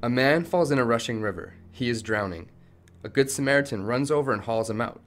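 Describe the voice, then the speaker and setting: A man's voice: medium pitch, fairly quick, soft background music, natural voice. A man playing a principled, defiant tech company chief held captive in a radio play, arguing firmly and articulately. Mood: measured, moralizing. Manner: firm, argumentative, articulate.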